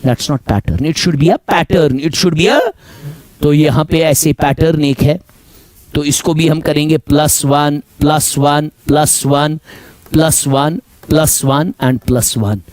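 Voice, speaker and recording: A man with a Kashmiri accent teaching reasoning in class, going over each point slowly and again, switching between Hindi and English and calling his students beta. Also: a little high, steady tone, a little mellow, dry recording. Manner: slow, repetitive, mixes Hindi and English, addresses students as beta. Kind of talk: classroom lecture.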